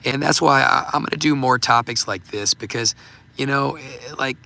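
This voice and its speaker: A man hosting a livestream, talking casually and haltingly with many fillers, his voice going hoarse and giving out. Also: medium pitch, sharp tone. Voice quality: gravelly, voice giving out. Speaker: livestream host. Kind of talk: casual livestream talk reading super chats.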